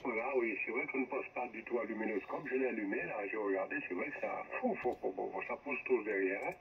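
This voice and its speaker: Older man, low pitch, folksy rambling ham radio chat, dull noisy transmission. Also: quick pace. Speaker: amateur radio operator.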